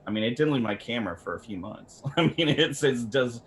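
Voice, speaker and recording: A man's voice: medium pitch, lively intonation, brisk. A man giving casual, conversational expert advice as a guest on a video call. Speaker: camera company representative, guest expert. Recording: slightly noisy video call.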